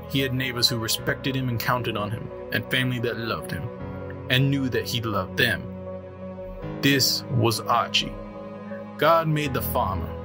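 Slow, solemn actor as a funeral pastor. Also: male voice, mid-range voice, close to an everyday voice. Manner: measured, reverent, deliberate. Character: solemn male pastor giving a funeral eulogy. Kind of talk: slow funeral sermon in an audio drama. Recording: music behind the voice.

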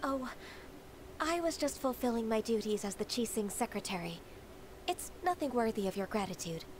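An actress playing a polite, dutiful young secretary in a game, speaking softly and formally, over game music. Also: female voice, a little high, lively intonation, normal speed, noisy background, lightly acted. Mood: calm. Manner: polite, soft-spoken, formal. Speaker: game character. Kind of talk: video game voice acting.

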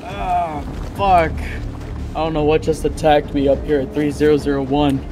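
A man's voice: fairly high pitch, flat delivery, normal speed, music in the background. A man doing improvised game roleplay as a police officer, agitated and swearing.

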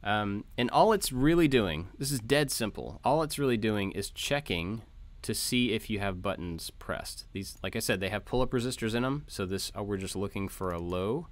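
Male tutorial host, low voice, informal step-by-step screencast narration. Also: animated, moderate pace, hardly any pauses. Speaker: host of a maker tutorial channel. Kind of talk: informal code walkthrough narration. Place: voice-over for a screen recording.

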